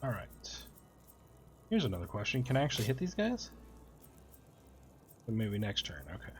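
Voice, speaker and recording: A man's voice: lowish voice, wildly swooping pitch, normal speed, faint music behind. A man giving casual gameplay commentary, muttering to himself and thinking aloud as he plays. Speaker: game player and commentator.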